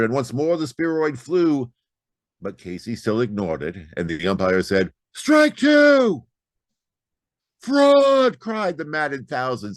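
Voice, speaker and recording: A man's voice: deep voice, no room sound, studio quality. A man reading narrative poetry aloud in a theatrical, emphatic dramatic recitation with big dynamic swings. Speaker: poetry reader.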